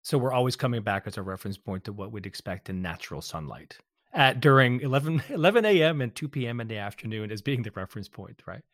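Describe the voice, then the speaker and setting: A man's voice: medium pitch, animated, fast talker, a bit bright, dry recording, clean studio sound. A man hosting an interview, curious and rephrasing the guest's points to check understanding. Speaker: interviewer host. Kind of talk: conversational interview.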